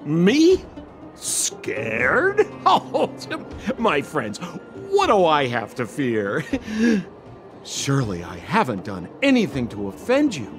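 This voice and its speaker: A man's voice: medium pitch, wildly swooping pitch, slow pace, soft background music, heavily acted. A man voicing a shifty, glib young con man in a video game, theatrical and full of exaggerated salesman patter. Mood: mock innocent.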